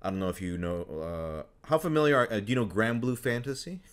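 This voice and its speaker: A young man, a voice actor hosting a casual interview, talking haltingly with many restarts and fillers. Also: lowish voice, expressive, clean tone. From United States. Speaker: host, voice actor.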